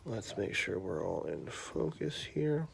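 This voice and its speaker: A man's voice: lowish voice, measured pace, creaky, a little hiss or hum. A man in his thirties hosting a bedtime story livestream, chatting casually with lots of fillers and then reading aloud. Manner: relaxed, rambling, lots of fillers.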